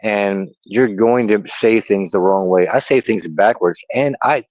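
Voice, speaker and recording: A man's voice: lowish voice, unhurried, darkish tone, no echo. A man co-hosting a casual livestream chat, with a slight lisp and occasional stutter, rambling with many fillers. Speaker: co-host. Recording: dry, dull lo-fi audio.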